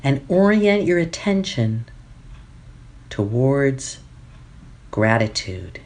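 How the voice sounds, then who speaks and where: A woman leading a guided meditation as a teacher, giving gentle instructions phrase by phrase with long pauses. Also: extremely low pitch, big pitch swings.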